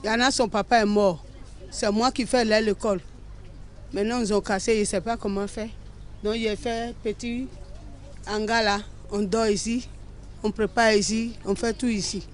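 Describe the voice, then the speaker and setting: A woman's voice: medium pitch, fairly even intonation, moderate pace. A woman interviewed outdoors speaks plainly and wearily about her situation. She talks simply, without flourish. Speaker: evicted resident. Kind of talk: street interview.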